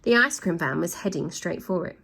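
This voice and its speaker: A woman reading a children's storybook aloud, with lively voices and sound effects. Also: fairly low pitch, fairly quick, vocal fry, slightly rough. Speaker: storybook reader.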